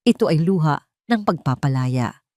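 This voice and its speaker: A woman with a Filipino accent reads a scripted dramatic story in a measured way, with pauses for effect. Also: deep voice, expressive, darkish tone, no room sound, clean studio sound. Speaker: narrator.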